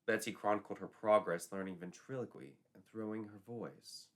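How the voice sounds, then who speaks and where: A man's voice: fairly low pitch, moderate pace. A man narrating a folklore tale as a storyteller, in a dramatic scripted style.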